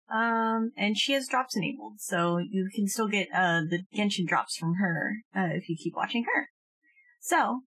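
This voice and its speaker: A woman's voice: mid-range voice, fairly bright tone, no echo, low-quality recording. Woman streamer, dry close sound. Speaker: livestreamer. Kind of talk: casual game stream chat.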